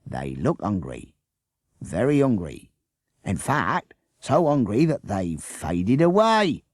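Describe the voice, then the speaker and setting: A blustering village policeman, suspicious and sarcastic, his voice pushed up high and loud, as a male storyteller puts him on. He jeers and prods with a mocking "eh?" in a lively, swooping delivery, recorded close and dry. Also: unhurried, dry recording. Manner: sarcastic, jeering, tacks on "eh?".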